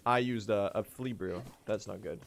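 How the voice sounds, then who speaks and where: A late-teen man from Sweden, a native Swedish speaker, chatting casually on a gaming livestream in a rambling, joking way with sudden excited outbursts. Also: on the low side, normal speed. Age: late teens. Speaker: streamer.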